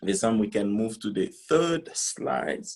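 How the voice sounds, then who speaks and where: A middle-aged man from the Democratic Republic of Congo giving a business presentation on a video call, speaking in a halting, deliberate way. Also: on the low side, expressive, gravelly, darkish tone. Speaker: conference speaker, company founder.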